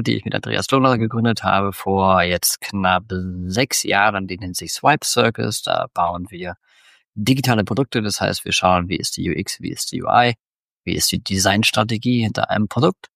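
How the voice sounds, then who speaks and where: A man in his forties from northwestern Germany, a guest on a podcast, talking in a relaxed, rambling way with many fillers. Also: lowish voice, gravelly, dry recording, studio quality. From northwestern Germany near the Dutch border. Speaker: podcast guest, agency founder. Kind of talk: casual interview talk.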